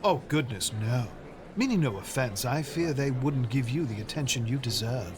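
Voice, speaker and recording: A man's voice: mid-range voice, lively intonation, normal speed, crowd noise, natural voice. An actor playing an eager, polite young Englishman who talks in a rambling, enthusiastic way. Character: eager, polite young man, opera fan.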